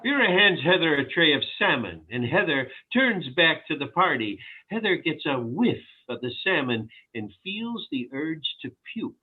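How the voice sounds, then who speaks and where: A man's voice: on the high side, sing-song, normal speed, few pauses, husky, narrow, tinny audio. A man narrating the stage directions of a screenplay at a table read.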